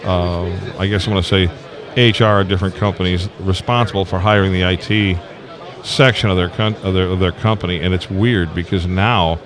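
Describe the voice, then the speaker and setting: A man's voice: sits low, steady tone, normal speed. An older man hosting a casual live interview, folksy and rambling, often repeating himself. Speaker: radio show host and interviewer. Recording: live outdoor event with loud crowd behind. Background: busy crowd at a convention.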